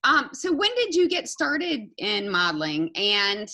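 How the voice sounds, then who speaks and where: Lively, husky-voiced woman host. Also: medium pitch, measured pace. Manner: enthusiastic, exclamatory, restarts often. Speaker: podcast host, modeling agent. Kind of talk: casual interview.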